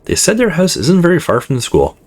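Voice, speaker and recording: A man's voice: a little high, wildly swooping pitch, brisk, pitched above the performer's own voice. Man voicing a laid-back oddball young gamer guy. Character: weird but loyal young male gamer friend.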